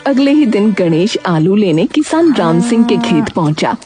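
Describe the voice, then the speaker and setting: Lively, expressive female story narrator. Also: mid-range voice, moderate pace. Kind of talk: dramatic scripted story narration. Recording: background music throughout.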